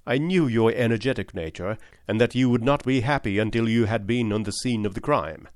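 A man's voice: medium pitch, fairly quick, no echo, clean studio sound, lightly acted. A man reading aloud, voicing a sly, self-assured police inspector who speaks with indulgent confidence. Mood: confident.

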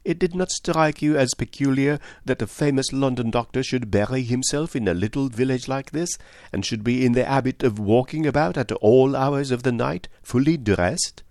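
A man's voice: mid-range voice, moderate pace, a little mellow, no echo, clean studio sound, voice pushed up, natural voice. A man voicing a clever, placid older foreign detective in an audiobook, with a precise, teasing, philosophical manner. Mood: placid, knowing. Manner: precise, philosophical, sprinkled with French endearments. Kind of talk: audiobook character dialogue.

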